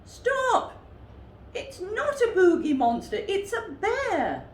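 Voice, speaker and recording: A woman voicing an excitable brown bear with a big wet nose in a children's storybook, exclaiming loudly. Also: very high voice, slow pace, echoey room, distant mic, pitched above the performer's own voice, heavily acted. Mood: alarmed. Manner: loud, exclaiming.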